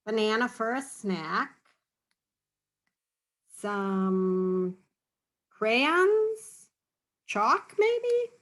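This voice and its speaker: A woman's voice: a little high, very animated, very slow, pauses often, fairly bright tone. A woman reading a picture book aloud to children, stopping to ask questions and explain in a teacherly, encouraging way. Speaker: read-aloud storyteller for children.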